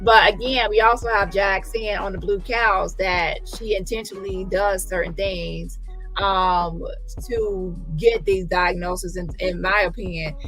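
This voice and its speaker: A woman's voice: mid-range voice, steady tone, a little slow, a bit bright, faint music behind, rough recording. A woman hosting a casual livestream commentary, talking emphatically in a rambling way and often correcting herself. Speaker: livestream host.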